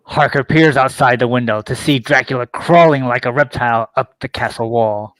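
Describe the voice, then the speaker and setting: A man's voice: mid-range voice, normal speed, a bit bright, no room sound, low-quality recording. A man narrating a radio play, reading out the stage directions.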